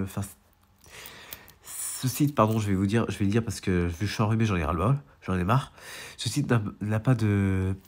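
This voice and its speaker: A young man with a stuffy, congested nose from a cold, rambling casually through a weather radar while complaining about being sick. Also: on the low side. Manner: rambling, repetitive, full of restarts. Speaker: storm chaser and weather vlogger. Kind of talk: casual screen-share walkthrough.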